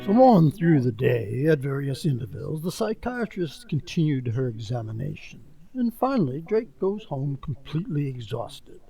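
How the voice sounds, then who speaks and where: A man narrating a radio mystery drama in a measured storytelling manner. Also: mid-range voice, sing-song, vocal fry, dark tone, slightly rough, faint music behind, rough recording. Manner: measured storytelling in present tense.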